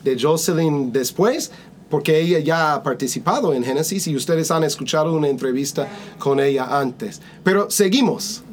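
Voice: medium pitch, expressive, normal speed, a little hiss or hum. Upbeat host, level middle voice. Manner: upbeat, repeats words when starting sentences. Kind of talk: interviewing guests.

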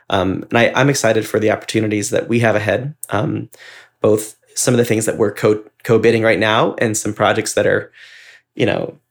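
A man co-hosting a podcast, talking in a casual, reflective way with effusive, wordy and earnest delivery. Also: on the low side. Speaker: podcast co-host and ad maker.

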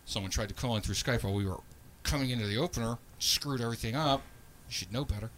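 A man's voice: mid-range voice, quick pace, a bit bright, a little hiss or hum. A man hosting a live podcast, talking loosely and laughing often, with frequent restarts. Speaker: podcast host.

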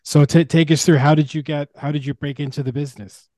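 A man hosting a podcast, putting casual questions to a guest in a halting way with restarts. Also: fairly high pitch, fairly even intonation, mellow, no room sound. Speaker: podcast host interviewing.

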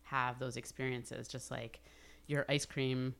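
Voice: medium pitch, flat delivery, unhurried, creaky, a bit bright. Interviewer, thoughtful, rambling, many fillers, casual talk.

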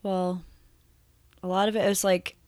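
A woman musician talking as a podcast guest in a halting, soft way, full of fillers, while losing her voice. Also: medium pitch, level tone, dry recording, studio quality. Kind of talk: casual interview chat.

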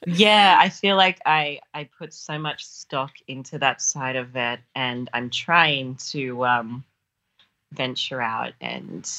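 Woman, Australian accent, very deep husky voice, bright tone, casual self-deprecating interview chat. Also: slow, low-quality recording. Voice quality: low, husky voice. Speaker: author being interviewed.

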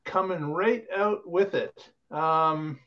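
Man, podcast host, high voice, chatty, filler-heavy, muffled audio. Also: slow pace, slight background noise. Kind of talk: casual chat show hosting.

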